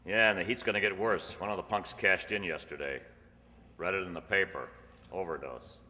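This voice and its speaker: An actor playing a matter-of-fact, deadpan police detective in a 1950s-style radio crime drama. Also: male voice, low-pitched, moderate pace. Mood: guarded, tough. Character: male police detective narrating, posing as a drug buyer. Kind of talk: 1950s radio crime drama, clipped first-person narration. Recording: hall-like reverb, music underneath.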